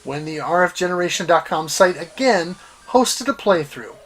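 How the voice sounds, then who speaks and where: A middle-aged man hosting a retro gaming series, reading scripted personal narration with a deliberate, dramatic delivery and emphatic pauses. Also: high voice, lively intonation, a bit bright.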